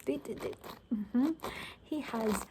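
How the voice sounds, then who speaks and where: A woman doing a soft-spoken ASMR roleplay as a sassy, gossipy apocalypse survivor, chatting casually and sarcastically and often dropping to a whisper. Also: a little high, takes it slowly, background noise.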